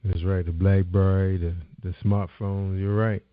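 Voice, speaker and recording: A man's voice: low-pitched, level tone, moderate pace, no echo. A man hosting a radio talk show, chatting casually and encouragingly with a guest, full of "you know". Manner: laid-back, encouraging, lots of "you know". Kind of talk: casual interview chat. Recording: dull lo-fi sound, dry.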